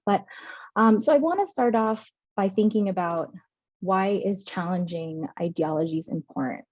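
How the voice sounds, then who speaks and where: A woman's voice: mid-range voice, normal speed, a little mellow, dry recording. A woman from the United States giving an earnest academic webinar talk over a video call, with many filler sounds. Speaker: presenter. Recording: dull lo-fi call audio.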